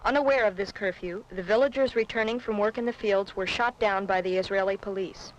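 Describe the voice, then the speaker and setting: A woman's voice: medium pitch, fairly quick, muffled recording. A woman reading scripted political narration on a 1970s documentary film soundtrack.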